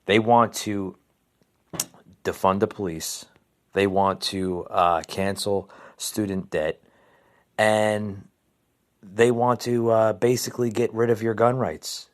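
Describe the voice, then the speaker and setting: A man with a fairly low voice hosts a podcast and rants about the news, calling his listeners "folks." He often stumbles into repeated words and restarts. He is from New Jersey. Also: steady tone, slow pace, pauses often. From New Jersey, USA. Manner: ranting, addresses listeners as folks; repetitive restarts, stammering repeats. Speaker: podcast host. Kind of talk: opinionated political commentary.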